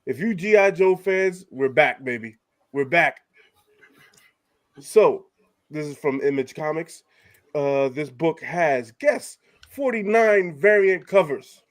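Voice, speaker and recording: A man's voice: on the high side, animated, takes it slowly, no echo. Male podcast host, congested, laughing and cursing easily, close and dry. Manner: laughing, casual, profane. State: stuffed up. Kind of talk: casual podcast chat.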